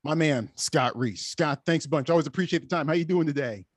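Higher-pitched American male sports podcast host. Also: a little fast, husky, no room sound. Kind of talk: sports talk and interview.

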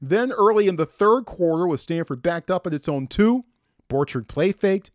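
A man's voice: high-pitched, expressive, normal speed, dry recording. A middle-aged man hosting a sports podcast, telling game stories with enthusiastic, emphatic, conversational commentary.

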